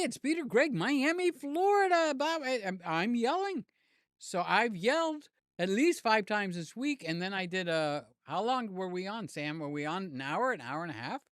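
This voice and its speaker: An older man hosting a live stream, chatting loosely with viewers, his voice slightly hoarse. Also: high voice, a bit bright, no echo, clean studio sound. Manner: rambling, jokey, talks to chat by name. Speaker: livestream host. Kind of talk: casual live chat with viewers. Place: home room with fans and air conditioner. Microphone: desk mic near the mouth.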